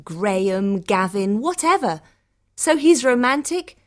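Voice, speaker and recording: Audiobook role: actress as cynical young woman, biting and scornful, medium pitch, very animated. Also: takes it slowly. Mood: scornful, sarcastic. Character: sarcastic, cynical, quarrelsome young blonde woman traveller. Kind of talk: acted audiobook dialogue.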